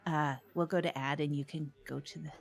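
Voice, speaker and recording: A woman's voice: low voice, fairly even intonation, fairly quick, creaky, loud music behind the voice. A woman playing an easygoing, reassuring community radio co-host in an improvised comedy, chatting in hesitant, repeating phrases. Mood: reassuring, accommodating.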